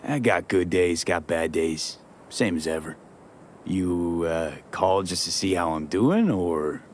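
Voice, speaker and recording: An actor playing a laid-back, wry young American mercenary in a video game, dry and casual. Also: male voice, sits low, lively intonation, unhurried, slight background noise. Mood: playful. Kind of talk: video game dialogue acting.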